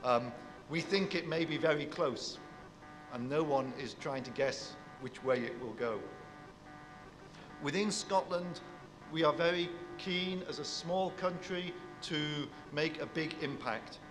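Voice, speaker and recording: Man, university speaker, big voice, slightly high, long hall echo, music underneath. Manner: warm, measured address to an audience, big voice. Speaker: university professor giving a speech. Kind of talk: formal public speech.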